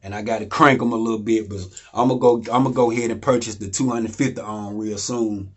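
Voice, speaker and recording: Deep-voiced man rambling about studio gear. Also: steady tone, fairly quick, breathy edge. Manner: loose, rambling, repeats phrases, asks "you know what I mean?". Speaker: home studio instructor. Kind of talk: casual how-to talk. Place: home studio room.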